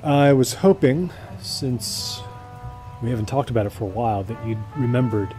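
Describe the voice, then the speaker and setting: A man's voice: medium pitch, normal speed, warm tone, soft background music, close to an everyday voice, poor audio. A polite but obsessed man in a naturalistic audio drama, played by an actor. He speaks in a middle register, hesitant and apologetic, yet keeps pressing on. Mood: brooding, probing. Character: Cal, polite, persistent man obsessed with a mystery.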